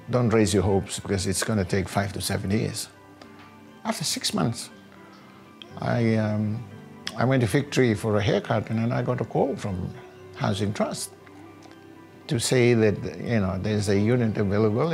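An older man from a small island, telling his personal story in an interview in a reflective, halting way. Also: fairly low pitch, vocal fry, a little mellow. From small Pacific island north of Fiji. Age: older, about 60. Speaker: housing tenant giving a testimonial. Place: small living room at home, indoors. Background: background music.

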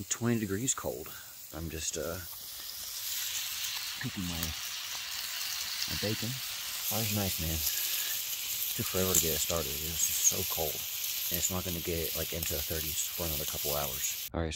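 Low-voiced young man vlogging outdoors. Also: measured pace, pauses often, heavy background noise. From Tennessee. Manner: chatty, excitable storytelling with frequent laughs and restarts. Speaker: travel vlogger. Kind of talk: casual solo travel vlog. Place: outdoors at a campsite and on sand dunes.

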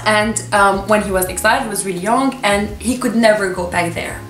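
A woman in her twenties, a native Arabic speaker, casually recommending books to camera in an expressive, gushing, emphatic manner. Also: mid-range voice, brisk, soft background music. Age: 20s. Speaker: book reviewer and vlogger. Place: small furnished room with books, indoors. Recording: echoey room, distant camera microphone.

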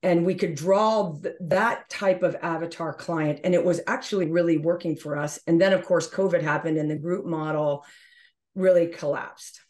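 A woman's voice: lowish voice, steady tone, normal speed, a little mellow. A middle-aged woman giving explanatory answers as an interview guest on a video call. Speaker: interview guest, gym owner.

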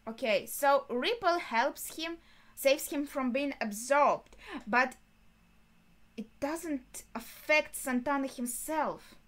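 A young adult woman giving casual reaction commentary, thinking aloud haltingly in short phrases. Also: sits high, a bit bright. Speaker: anime reaction host. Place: small bedroom indoors.

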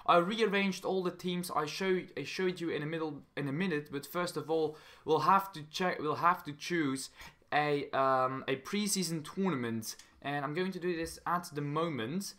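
Young man, Belgian accent, slightly high pitch, bright forceful tone, casual gameplay commentary, halting repeated phrases. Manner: halting non-native English with repeated phrases. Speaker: gaming channel host.

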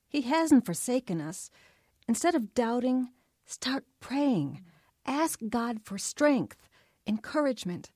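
Slow, devout Bible teacher. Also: female voice, fairly high pitch, a little mellow, no room sound, studio quality. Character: devout, encouraging woman leading a Bible study. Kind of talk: radio drama acting, preaching.

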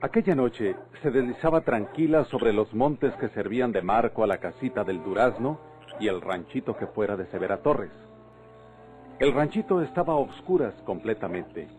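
A man's voice: mid-range voice, a little mellow, poor audio. A man with a Mexican accent giving dramatic scripted narration in vintage radionovela style.

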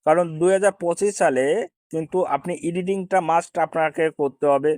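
A man's voice: fairly high pitch, fairly quick, a little mellow, no echo. A man races through an informal step-by-step tutorial. He often repeats his phrases and addresses the viewer as brother. The sound is dry and close, with very little room around the voice. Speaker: tutorial host. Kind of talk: informal screen-recorded how-to tutorial.

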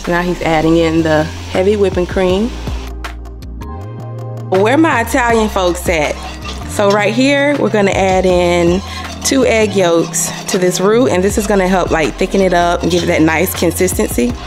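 A woman's voice: lowish voice, moderate pace. A woman narrating a home cooking video in a chatty, casual way, often addressing viewers as y'all. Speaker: cooking vlog host. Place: home kitchen. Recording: music under the voice. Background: background music.

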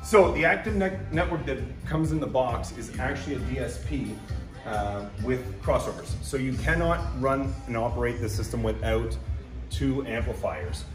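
A man's voice: mid-range voice, animated, normal speed. Distant, echoey man explaining equipment. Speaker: sales director. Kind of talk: technical product explanation. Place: hotel conference room at a trade show. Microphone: camera mic a few steps away. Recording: distant, echoey room with background music.

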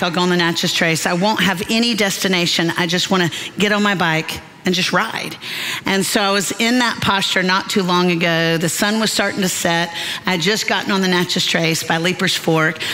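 A woman, praying and then telling stories as a Bible teacher in a folksy, joking way on a large church stage. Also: on the low side. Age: 50s. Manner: animated, folksy, self-deprecating humor. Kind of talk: prayer and sermon storytelling. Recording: long hall reverb with soft music.

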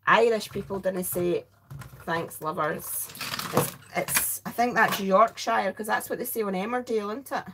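A woman's voice: mid-range voice, moderate pace, noisy background. A tired middle-aged Scottish woman chatting in a rambling way while crafting live and answering viewers. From Scotland. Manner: chatty, rambling, self-interrupting. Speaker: crafting livestream host. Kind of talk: casual chat while crafting, reading viewer comments. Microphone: overhead camera over craft desk.

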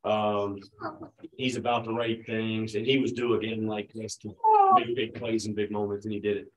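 A man in his forties, a head basketball coach, answering questions at a postgame press conference in a relaxed, conversational way with many fillers, speaking into a table microphone. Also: lowish voice, moderate pace, slight background noise, low-quality recording. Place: press room at a team table, his children beside him.

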